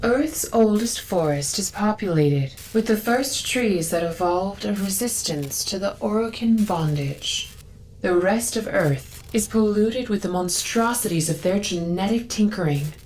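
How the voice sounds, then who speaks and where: An actress playing a devout, earnest female zealot guarding a sacred forest in a video game, reverent, solemn and measured. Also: mid-range voice, animated, darkish tone, a little hiss or hum, lightly acted, rough recording.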